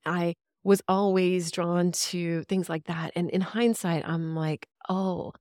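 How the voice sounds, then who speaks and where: Brief: woman, 50s, from Central Texas, lowish voice, dry close sound, reflective and chatty guest. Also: fairly even intonation, takes it slowly, darkish tone, dry recording. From Central Texas, USA. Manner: reflective, chatty, with easy laughs. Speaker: podcast guest, radio veteran. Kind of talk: casual interview storytelling.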